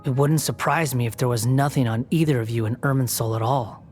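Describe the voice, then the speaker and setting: A man's voice: medium pitch, a little fast, over loud music, lightly acted. A man voicing a sardonic young male puppet held as a prisoner in a game cutscene; his speech is dry, mocking and aloof.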